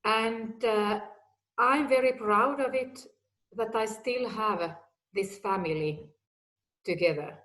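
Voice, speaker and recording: Slow-speaking woman. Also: fairly high pitch, fairly bright tone, rough recording. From Finland. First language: Finnish or Swedish. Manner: slow, deliberate, simple phrasing. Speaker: guest executive. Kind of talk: personal talk.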